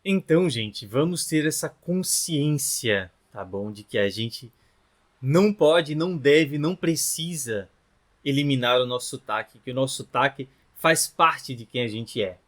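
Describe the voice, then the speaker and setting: A man in his thirties from northeastern Brazil with a Brazilian Rio Grande do Norte accent, teaching a relaxed lesson to camera and often tagging his phrases with questions. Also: mid-range voice, a little slow. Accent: Brazilian Portuguese, from Rio Grande do Norte. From Natal, northeastern Brazil. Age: 30s. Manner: chatty, ends phrases with tag questions. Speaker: language teacher.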